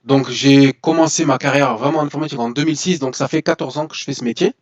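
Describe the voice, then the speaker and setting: A man in his forties from France talks fast as an interview guest. He tells his story in an animated way, restarting sentences and saying "voilà" often. The recording sounds dull and lo-fi. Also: medium pitch. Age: 40s. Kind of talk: casual interview talk.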